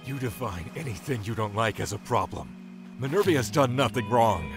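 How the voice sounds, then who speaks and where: An actor playing a brooding, bitter male god in an audio drama, grieving and vengeful, speaking slowly with cold menace. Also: mid-range voice, expressive, music in the background, lightly acted. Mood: bitter, defiant. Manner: slow, deliberate, cold menace.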